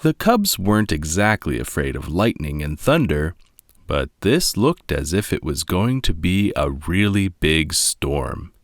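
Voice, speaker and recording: A low-voiced man reads a children's story aloud as a narrator. He takes his time over each phrase, and his expressive delivery rises and falls widely. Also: measured pace, no echo, studio quality. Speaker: read-along narrator.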